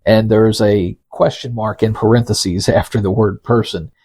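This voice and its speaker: A man's voice: fairly low pitch, steady tone, moderate pace, mellow, breathy edge. A man hosting a podcast, telling scripted stories in a conversational, folksy way with teasing asides to the listener. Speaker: podcast host and narrator. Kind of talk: conversational scripted storytelling, reading quotes.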